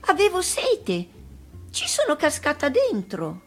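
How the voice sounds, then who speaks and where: A woman's voice: sits high, huge pitch swings, slow, faint music behind, voice pushed up, full character voice. A woman voicing a clumsy, curious little girl in a storybook reading, matter-of-fact and sing-song. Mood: cheerful, innocent.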